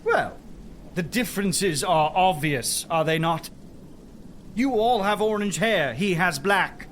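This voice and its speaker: An actor in an audio drama playing a boastful, sneering senior knight with a mocking, theatrical delivery. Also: male voice, sits high, expressive, slow pace, fairly bright tone, a little hiss or hum, full character voice.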